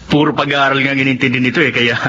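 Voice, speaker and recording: A man's voice: medium pitch, fairly even intonation, racing, bright, forceful tone, natural voice. A man acting a jovial, teasing married older brother in a radio drama, easygoing and chuckly. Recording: muffled lo-fi audio with hiss.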